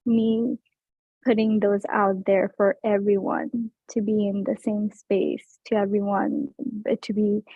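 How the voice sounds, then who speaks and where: A woman's voice: medium pitch, no room sound. Earnest young woman, tinny sound. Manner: earnest, many fillers like "um" and "like". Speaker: visual artist. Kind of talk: speaking at an art show. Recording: thin phone-like sound.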